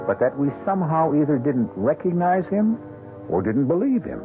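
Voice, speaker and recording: A man playing an ominous, philosophical mystery host, narrating in a measured, theatrical, moralizing manner on old-time radio. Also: on the high side, big pitch swings, over loud music. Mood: ominous, reflective. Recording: muffled, hissy old radio broadcast.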